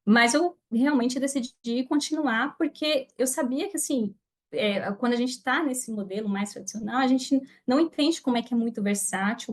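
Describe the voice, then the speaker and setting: A woman with a Brazilian Portuguese accent, a podcast guest speaking earnestly and reflectively, with hesitant restarts. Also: on the high side. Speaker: guest, affiliate marketer. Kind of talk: casual podcast interview.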